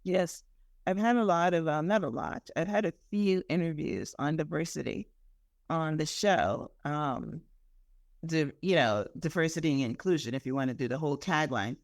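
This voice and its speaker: A woman from Guyana hosting a podcast interview, chatty and animated, laughing easily. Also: deep voice, moderate pace, creaky, no echo, clean studio sound. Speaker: podcast host.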